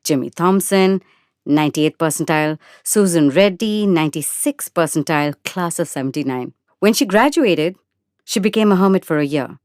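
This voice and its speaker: Female narrator, lower register, measured dramatic storytelling, dry studio sound. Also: expressive, darkish tone, no echo. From India. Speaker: narrator and actor. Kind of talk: dramatic first-person short story narration.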